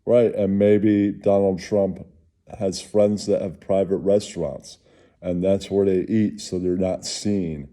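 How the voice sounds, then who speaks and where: Male host, low-pitched and very dark in tone, halting delivery, casual rambling commentary. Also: steady tone.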